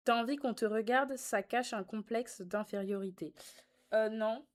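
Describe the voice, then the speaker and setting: A woman's voice: a little high, measured pace. Young woman, sassy live streamer. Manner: teasing, sassy, slangy. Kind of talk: casual live chat, reading and answering viewer comments.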